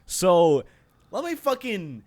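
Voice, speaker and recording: A man's voice: high-pitched, sing-song, slow pace, mellow, dry recording. A man in casual podcast banter, joking and swearing a lot. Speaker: podcast member.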